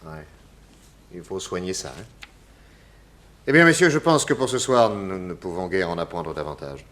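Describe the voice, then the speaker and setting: A private detective in an old French radio play. His voice is on the low side and moves through wide swings of pitch while he questions people with composed, probing courtesy. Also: animated, moderate pace, fairly bright tone. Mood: courteous. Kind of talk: 1960s French radio drama acting.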